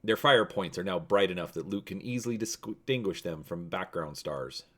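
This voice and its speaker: Low-pitched man reading a story dramatically. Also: expressive, normal speed, a bit bright. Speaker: host and narrator.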